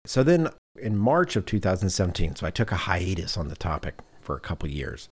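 A man's voice: low-pitched, very animated, brisk, breathy edge. A man hosting a podcast in casual conversation, telling anecdotes in a self-deprecating way. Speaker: podcast host.

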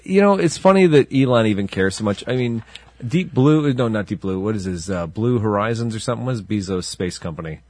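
Male co-host, low-ish voice, dry and close, rambling and chuckling. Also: expressive, a little fast, darkish tone, dry recording. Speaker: podcast co-host. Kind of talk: casual banter.

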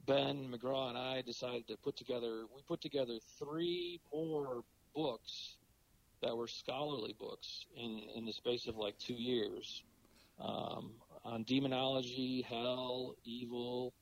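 A middle-aged man with a cold and a raspy voice, talking as a podcast guest in a halting way with many fillers. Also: mid-range voice, fairly even intonation, low-quality recording. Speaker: podcast guest, philosophy professor. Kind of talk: casual interview talk.

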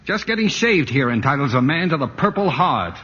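A man's voice: fairly high pitch, very animated, brisk, noisy background. A man playing a stubborn, dreamy husband with an American accent, earnest and defensive, in 1940s radio acting. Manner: earnest, defensive, hesitant starts. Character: stubborn, dreamy middle-class husband, smitten with his house. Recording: dull lo-fi 1940s radio broadcast.

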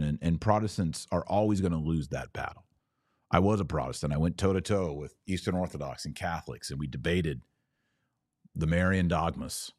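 A man's voice: deep voice, a little mellow, slightly rough, no room sound, clean studio sound. A man hosting a religious podcast, talking informally and emphatically, often with rhetorical questions and repeated phrases.

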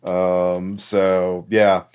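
A man who speaks as game master in a casual post-game explanation, haltingly, trailing into pauses and swearing now and then. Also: low-pitched, monotone, a little mellow, clear, resonant tone. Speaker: game master of a tabletop role-playing podcast.

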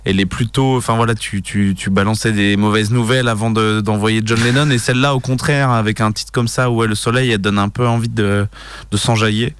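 A man's voice: fairly low pitch, fairly even intonation, a little fast, clear, resonant tone. A man co-hosting a casual music chat on the radio, hesitant and full of fillers and restarts. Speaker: radio co-host.

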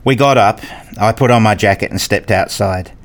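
A man's voice: fairly low pitch, normal speed, close to an everyday voice. A man playing a brooding, rule-obsessed husband and father in a radio play, speaking in an introspective, pedantic way. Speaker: narrator and lead character. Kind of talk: first-person radio play narration and dialogue.

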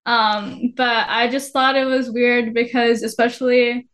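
A soft-spoken woman from the Philippines, a podcast guest chatting in a relaxed way with many fillers. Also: on the high side, unhurried. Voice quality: soft-spoken, not a loud voice. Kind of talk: casual conversation.

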